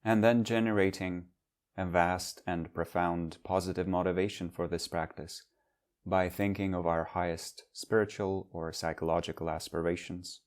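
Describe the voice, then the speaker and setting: A man leading a guided meditation as a teacher, speaking in measured, instructional phrases with long pauses. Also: sits low, steady tone.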